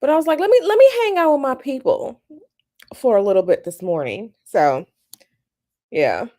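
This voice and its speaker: Woman on a morning livestream, bit raspy since she just woke up, chatty and slangy, says hi to folks by name. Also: a little high, big pitch swings, normal speed, mellow. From United States. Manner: chatty, greets viewers by name, slangy asides. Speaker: tarot channel host. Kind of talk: casual live-stream chat with viewers. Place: at home, bedroom.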